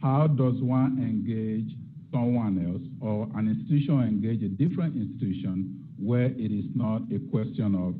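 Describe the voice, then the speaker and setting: Low-voiced man lecturing reflectively. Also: normal speed, breathy edge, phone-quality sound. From Nigeria. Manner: reflective, asks rhetorical questions. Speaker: guest lecturer. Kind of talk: academic lecture.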